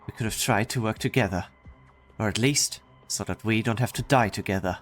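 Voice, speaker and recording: Male actor as steadfast doomed veteran, deep pitch, measured, somber, game drama, light music bed. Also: natural voice. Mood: regretful. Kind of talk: dramatic game story dub.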